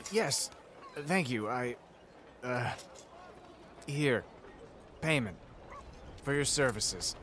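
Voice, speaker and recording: An actor playing a kindly, effusive friar who talks eagerly and fusses. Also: male voice, medium pitch, animated, drawn-out words, long pauses, fairly bright tone, a little hiss or hum. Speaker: monk. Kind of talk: video game cutscene acting.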